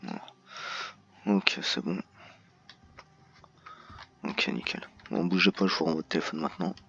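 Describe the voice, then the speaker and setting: An obliging gang henchman , played by a man speaks in an online game roleplay. His voice is low and full of gravelly creak. The voice chat sounds dull and lo-fi. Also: slow pace, pushed and bright, full character voice. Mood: matter-of-fact.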